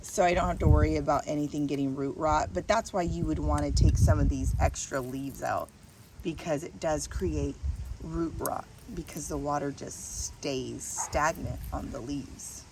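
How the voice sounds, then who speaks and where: A woman's voice: low voice, steady tone, moderate pace. A woman hosting a gardening vlog, chatting casually and narrating her hands-on work as she goes, in a rambling way. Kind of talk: casual chat while working. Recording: distant mic, noisy background.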